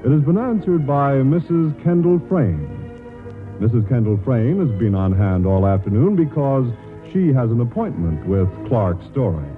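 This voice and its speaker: A man narrating a 1940s radio drama, setting the scene in a wry, deliberate storytelling manner. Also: medium pitch, big pitch swings. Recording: muffled 1940s radio recording, prominent music.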